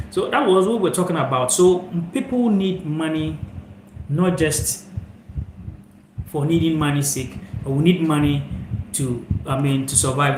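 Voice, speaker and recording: Young man from ghana, channel host, rambling reflective talk, phone mic, echoey room, faint hiss. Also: fairly high pitch. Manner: rambling, reflective, many fillers and asides. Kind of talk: live-stream talk, musing to viewers. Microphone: phone held sideways.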